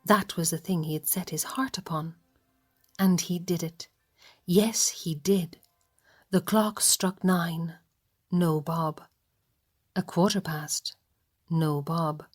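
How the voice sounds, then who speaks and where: An Irish woman narrating a radio play in a measured, softly hushed storytelling manner. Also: on the low side.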